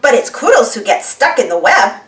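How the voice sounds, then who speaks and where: A woman narrating a children's storybook in an animated read-aloud style. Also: on the high side, moderate pace, far from the mic, over music. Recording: roomy, voice away from microphone.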